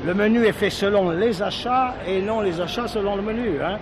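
An actor playing a proud, exacting restaurateur with a talkative, explanatory manner, in a French radio drama. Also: male voice, high-pitched, normal speed, a crowd in the background, natural voice. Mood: proud, assured. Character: proud, exacting restaurateur showing a young lady around. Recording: outdoor market noise and vehicles behind.

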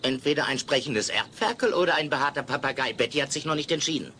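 An actor playing an eccentric older householder with nervy, dry wit in a German radio play. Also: male voice, mid-range voice, lively intonation, quick pace, pushed and bright, a little hiss or hum. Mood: wry, impatient.

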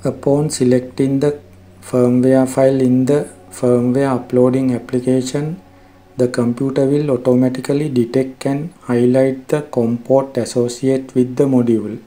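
A man narrating a scripted software tutorial in a formal, measured, step-by-step way. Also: medium pitch, little pitch movement, low-quality recording. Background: background music.

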